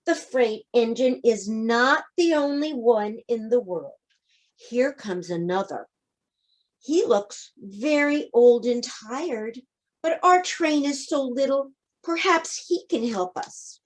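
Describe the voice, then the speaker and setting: A woman's voice: fairly high pitch, animated, takes it slowly. A woman voicing a little male toy clown in a storybook, calling out eagerly and encouragingly. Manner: bright exclaiming, eager calls.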